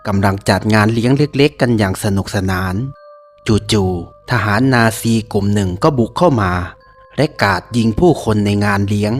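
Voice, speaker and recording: A man's voice: on the low side, lively intonation, moderate pace. A man narrating a scripted history of a haunted place. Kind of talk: scripted documentary-style narration. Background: music.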